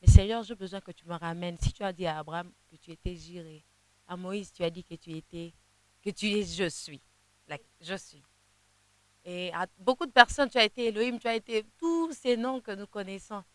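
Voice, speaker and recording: A young woman, a gospel singer whose first language is Kinande, answering interview questions in a halting way, often repeating words as she searches for them. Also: mid-range voice, poor audio. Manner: sings softly in places.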